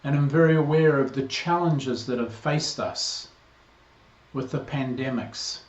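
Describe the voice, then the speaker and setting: A man in his sixties with a New Zealand accent, a priest giving a measured, earnest greeting to a parish. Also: mid-range voice. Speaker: priest, incoming interim rector. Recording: roomy, slightly dull recording.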